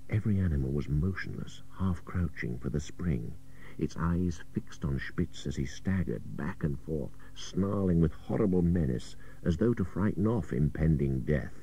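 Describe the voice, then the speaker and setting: A man's voice: rumbling low, lively intonation, moderate pace, gravelly, mellow, a little hiss or hum. A man reading an adventure story as a dramatic narrator, with a suspenseful, theatrical delivery. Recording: dull old radio cassette.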